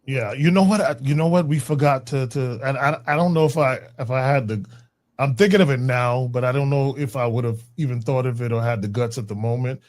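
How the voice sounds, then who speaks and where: A man's voice: medium pitch, brisk, darkish tone. A man co-hosting a podcast, telling a story in casual, slangy chat with frequent restarts. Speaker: podcast co-host.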